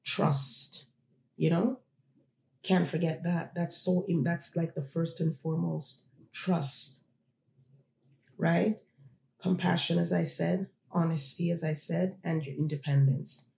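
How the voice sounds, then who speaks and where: Gravelly, mellow-voiced podcast host. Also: medium pitch, steady tone, slow pace, roomy sound, slight background noise. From born in Jamaica, raised in Toronto. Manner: reflective, conversational, addresses listeners directly. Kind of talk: casual solo podcast talk.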